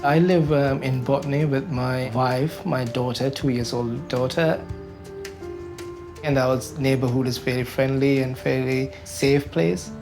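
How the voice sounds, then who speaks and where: Hesitant Sri Lankan man, gravelly flat voice. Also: mid-range voice, a little slow. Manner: hesitant, many ums and uhs. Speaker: interviewee, community advocate. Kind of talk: recorded interview, reflective. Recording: background music under voice.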